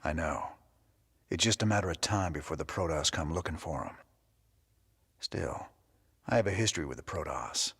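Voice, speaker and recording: Game rebel leader: low, roughened Southern drawl, weary but big-hearted, folksy. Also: male voice, lively intonation, moderate pace, long pauses, fairly bright tone, full character voice. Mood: somber. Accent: American Southern. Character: weary, big-hearted male rebel commander, middle-aged space cowboy. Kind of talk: video game cutscene acting. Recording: long reverb, music underneath.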